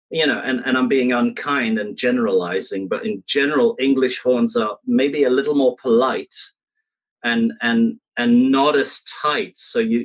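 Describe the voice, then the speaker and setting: Male recording engineer from Belgium, mid-pitched, opinionated, casual conversational delivery. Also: poor audio. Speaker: recording engineer, interview participant.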